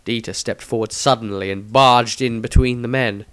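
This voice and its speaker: A man's voice: mid-range voice, fairly even intonation, normal speed, smooth, no room sound. A man reading a scripted fantasy story as its narrator. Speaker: author and narrator.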